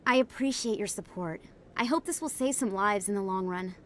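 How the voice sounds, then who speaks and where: An actress playing an earnest, grateful investigative journalist in a video game. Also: female voice, mid-range voice, fairly quick, a little hiss or hum, close to an everyday voice. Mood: grateful, eager. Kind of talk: video game dialogue acting.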